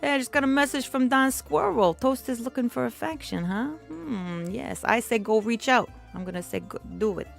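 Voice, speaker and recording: An exuberant mob boss lady played by a woman chats away over music. She is animated and playful, rambling through a game stream in character. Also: a little high, moderate pace, gravelly, hardly any pauses, pitched above the performer's own voice. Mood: chatty, playful. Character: exuberant mafia don woman with a playful accent. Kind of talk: role-play live stream chat. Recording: music playing behind the voice.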